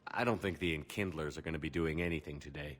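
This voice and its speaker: An actor playing a steady, helpful military commander in a video game, terse and matter-of-fact. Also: male voice, very deep voice, fast talker, noisy background. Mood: firm.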